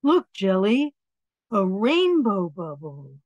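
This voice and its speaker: Slow, animated woman reading picture books. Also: mid-range voice, no echo, muffled recording. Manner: expressive, acts out words and dialogue. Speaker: storyteller reading children's books aloud. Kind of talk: picture-book read-aloud with asides on the pictures.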